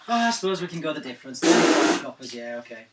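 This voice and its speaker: A man's voice: on the high side, very animated, fast. A man playing a tabletop role-playing game, haggling and teasing in a dry way. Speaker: player. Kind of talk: casual play at a game table. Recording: noisy roomy recording from a distance.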